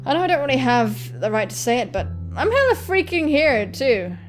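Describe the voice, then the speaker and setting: A blunt young punk woman, played by a woman reading a visual novel, with a fairly high voice over background music. She is defensive and rattled, swearing and talking in halting slang. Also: sing-song. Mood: exasperated, shaken.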